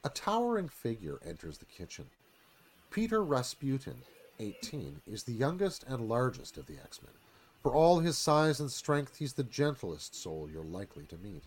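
Husky, animated male narrator. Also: medium pitch, normal speed, low-quality recording. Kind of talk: dramatic live screenplay narration.

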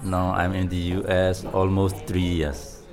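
A man from Myanmar, a culinary student, speaking in careful, halting English. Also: low voice, completely flat delivery, over music.

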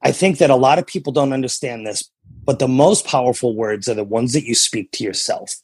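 A man with an American accent and a gravelly, husky voice, captured close and dry. He talks like a coach being interviewed, earnest and emphatic. He often repeats a phrase to press a point home. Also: mid-range voice, a little fast, no echo. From New England, USA. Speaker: coach and entrepreneur, interview guest. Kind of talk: conversational interview, motivational.

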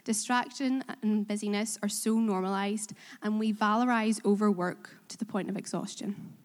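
A woman's voice: medium pitch, fairly even intonation, normal speed. A woman with a Korean accent preaching a sermon in church, earnest and self-deprecating, asking the congregation questions. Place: church in Belfast. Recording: reverberant hall.

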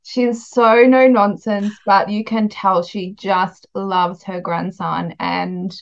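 A woman's voice: mid-range voice, steady tone, slow, gravelly, highs cut off. An Australian woman hosting a podcast, asking questions and chatting casually about books in an interview.

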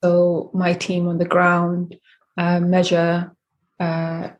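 Woman, husky, flat delivery, interview guest, many ums and restarts. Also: fairly low pitch, muffled recording. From Eritrea. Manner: measured, many fillers and restarts. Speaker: coffee company founder. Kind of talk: interview answers.

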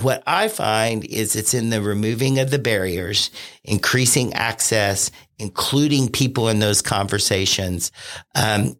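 A man speaking as a podcast guest in an earnest, measured, reflective way. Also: lowish voice, a bit bright. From Cincinnati area. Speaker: podcast guest, consultant and franchisee. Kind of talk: conversational interview.

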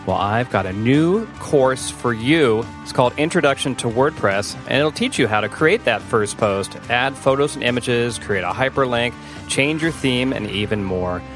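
A man's voice: medium pitch, lively intonation, moderate pace, a bit bright, soft background music. A man hosting an education podcast, asking rambling questions with restarts, then reading a promo. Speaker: podcast host and interviewer. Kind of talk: conversational interview, promo read.

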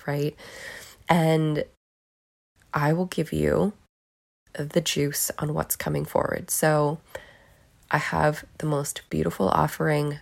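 A woman in her thirties hosting her own podcast solo, gushing and affectionate, swearing casually, hoarse as she is losing her voice. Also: low-pitched, a little mellow. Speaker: podcast host.

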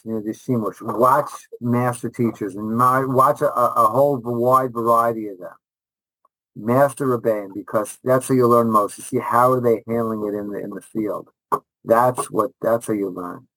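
A man's voice: mid-range voice, fairly even intonation, normal speed, a bit bright, no room sound, rough recording. A middle-aged man, a rabbi and teacher answering interview questions conversationally, enthusiastic and emphatic, repeating phrases and often restarting.

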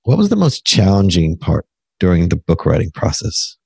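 Brief: male interviewer, very deep husky voice, dry close sound, thoughtful and encouraging. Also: huge pitch swings, moderate pace, a little mellow, no echo. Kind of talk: interview conversation.

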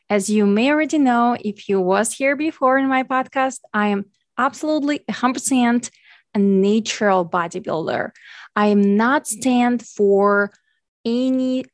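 A woman with a clear Ukrainian accent talks through her podcast in English, her second language. She sounds earnest and thankful, and her phrasing is plainly non-native. The recording is dry and close, with almost no room sound. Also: mid-range voice, no room sound. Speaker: podcast host, nutrition coach. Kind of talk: solo podcast monologue.

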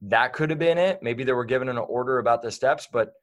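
A man's voice: medium pitch, fast. A man talking as a guest in a casual interview, rambling with lots of fillers and restarts.

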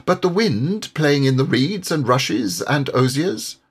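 A man voicing a gentle, plain-spoken mole in an audiobook, doubtful and matter-of-fact. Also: mid-range voice, sing-song, slow pace, slightly rough, pitched above the performer's own voice, exaggerated voice. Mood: puzzled doubt. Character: puzzled, plain-spoken male mole, gentle animal companion. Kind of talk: audiobook character voice.

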